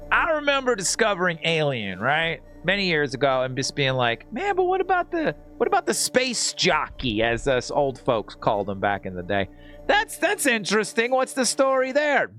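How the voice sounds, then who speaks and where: A man's voice: high-pitched, fairly bright tone. A man streaming a video game, giving casual, slangy, rambling and joking commentary to his chat. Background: video game music.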